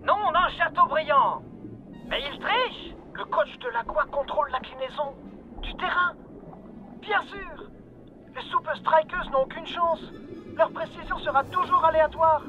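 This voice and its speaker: Excitable cartoon fellow, very high, emphatic, slightly muffled, faint music behind. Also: male voice, moderate pace. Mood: agitated. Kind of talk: French cartoon dub.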